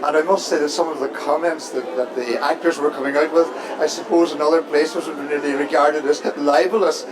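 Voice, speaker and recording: A man giving casual, reflective interview talk in a measured, earnest way. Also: fairly high pitch, fairly even intonation, crowd noise, low-quality recording. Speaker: interviewee at a community pantomime. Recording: music playing behind the voice. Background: music.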